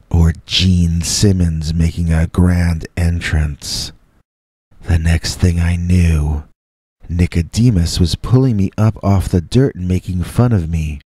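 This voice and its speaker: A man narrating first-person scary stories from a script in a deliberate, measured way with dramatic pauses. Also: deep voice, dark tone, breathy edge, no echo. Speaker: storyteller, host reading listener stories as a Bigfoot persona.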